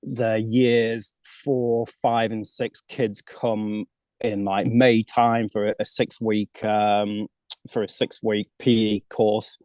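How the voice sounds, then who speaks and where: A man from the UK talks about coaching kids as an interview guest. His voice sits fairly low. He speaks casually, often repeating words as he restarts, and the sound is dry with no room echo. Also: little pitch movement, takes it slowly, darkish tone. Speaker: golf coach abroad, guest.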